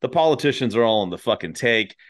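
A man's voice: medium pitch, lively intonation, quick pace. A middle-aged American man in casual conversation, talking bluntly with plenty of slang and swearing. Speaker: podcast conversationalist. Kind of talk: casual chat.

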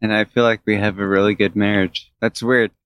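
A man co-hosting a podcast, speaking in a halting, hesitant, wry way. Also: fairly low pitch, level tone, a bit bright, smooth, no room sound. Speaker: podcast co-host. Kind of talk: casual chat.

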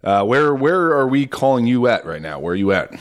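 A man's voice: mid-range voice, sing-song, fairly quick. A man hosting a radio show, interviewing a caller in a laid-back, joking way and laughing easily.

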